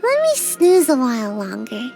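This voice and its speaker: A woman's voice: sits high, wildly swooping pitch, normal speed, vocal fry, mellow, soft background music, exaggerated voice. A woman voicing a tiny, sleepy young ninja girl in a game, drowsy and a little whiny, shy but grumpy. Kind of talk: game character voice lines.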